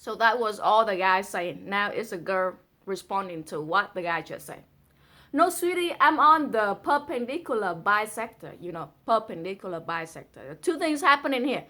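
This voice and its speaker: Bright-voiced Vietnamese woman, casual and playful. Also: mid-range voice, animated, normal speed. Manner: playful, giggly asides. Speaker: presenter reading a translated poem. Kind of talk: casual chatty poetry reading with asides.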